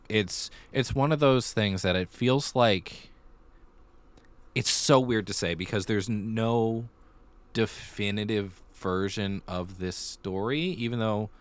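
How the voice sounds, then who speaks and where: Guy cohosting a podcast, really low voice, casual talk, repeats himself to make a point. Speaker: podcast co-host. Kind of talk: casual conversational chat.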